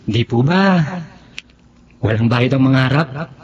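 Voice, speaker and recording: A man's voice: medium pitch, lively intonation, slow, slight background noise. A man playing an earnest, hopeful young son in a radio drama, eager and heartfelt. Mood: hopeful, determined. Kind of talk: Tagalog radio drama acting. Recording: slightly muffled radio drama audio.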